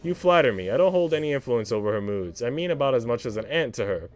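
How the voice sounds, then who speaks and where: A man's voice: mid-range voice, expressive, fast talker, faint music behind, close to an everyday voice. A man voicing a passionate young woman working as a maid, earnest and indignant, in a visual novel. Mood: defiant.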